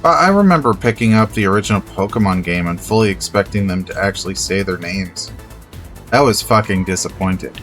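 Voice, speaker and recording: A man's voice: low-pitched, lively intonation, a little fast, a bit bright, faint music behind. A man in casual livestream chat, laid-back and matter-of-fact, free with swear words.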